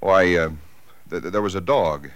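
An actor playing a haunted, secretive husband who narrates a horror in halting, dramatic 1940s radio style. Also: male voice, low-pitched, no echo, close to an everyday voice. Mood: nervous, evasive. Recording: 1940s radio broadcast recording, loud music behind.

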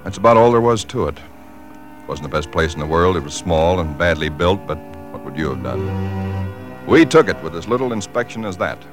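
Casting: weary 1940s radio husband, very low voice, expressive swings, brushing off worries, old recording with music. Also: male voice, normal speed. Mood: reflective, measured. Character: weary, dismissive husband recalling a house move. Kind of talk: 1940s radio drama acting and narration.

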